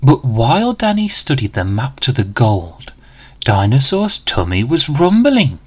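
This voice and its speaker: Slow, animated male children's storyteller. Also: mid-range voice, breathy edge. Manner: expressive, dramatic, deliberate. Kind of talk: rhyming picture-book read-aloud.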